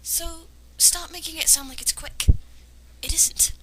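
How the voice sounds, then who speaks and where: A woman's voice: very high-pitched, steady tone, measured pace, bright, forceful tone, in a whisper. A woman reading a story aloud and breaking in with casual, sarcastic, nitpicking commentary, on an amateur recording. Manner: sarcastic, nitpicking, hesitant in asides. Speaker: amateur reader and commentator of fan fiction. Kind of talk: dramatic reading of a story with casual mocking asides. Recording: clearly noisy amateur home recording.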